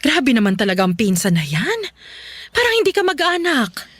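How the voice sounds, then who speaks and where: A woman's voice: fairly high pitch, huge pitch swings, normal speed, husky, no echo, exaggerated voice. Chatty, motherly Filipino aunt. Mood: disapproving, concerned. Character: kindly, concerned, chatty older aunt Tita Lani. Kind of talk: Filipino radio drama acting.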